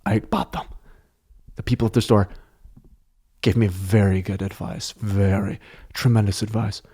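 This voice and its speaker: Joking, profane podcast host rambling. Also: male voice, on the low side, flat delivery, measured pace, mellow, slightly rough. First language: French. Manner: joking, profane, meandering storytelling. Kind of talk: casual solo podcast rambling. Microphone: close studio mic.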